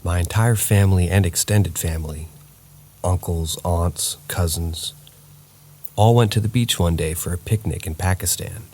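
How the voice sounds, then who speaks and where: A man narrating true paranormal stories in a steady, somber reading voice. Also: low-pitched.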